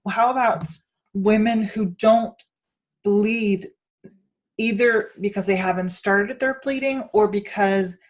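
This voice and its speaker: A woman's voice: mid-range voice, slow pace. A woman from Denmark hosting a conversational interview, thinking aloud and often searching for her words as she rambles toward a point. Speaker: podcast host and interviewer.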